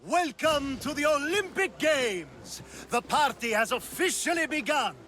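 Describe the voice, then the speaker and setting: A man's voice: extremely high pitch, very animated, unhurried, pushed and bright, slight background noise, heavily acted. An actor playing a flirtatious, flamboyant man who talks in a teasing, theatrical way in a video game cutscene. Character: flirtatious flamboyant male party host.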